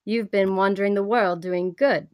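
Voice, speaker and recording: A woman's voice: mid-range voice, fairly even intonation, normal speed, a little mellow, no echo, studio quality, natural voice. A woman reading the part of a sly, teasing female thief and smuggler in a screenplay table read. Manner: sardonic, teasing.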